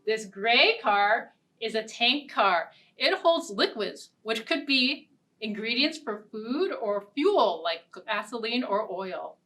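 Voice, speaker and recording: A young woman hosting a storytime for small children, speaking in simple, deliberate phrases. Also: a little high, expressive, pushed and bright. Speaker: children's library storytime host. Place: indoor storytime set. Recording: distant mic, ukulele music. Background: ukulele strumming.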